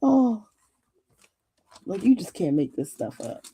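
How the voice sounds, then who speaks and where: A woman's voice: medium pitch, slow pace, breathy edge. A woman co-hosting a scrapbooking livestream in casual, joking chat, sometimes trailing off into murmurs as she works. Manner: lively, joking, trails off into murmurs while working. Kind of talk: casual chat while crafting.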